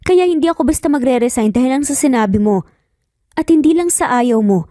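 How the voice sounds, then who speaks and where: Woman acting a high-voiced, defensive young mother. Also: expressive, a little fast, dark tone, no echo, studio quality. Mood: firm, indignant. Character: jealous, defensive young working mother, proud and stubborn.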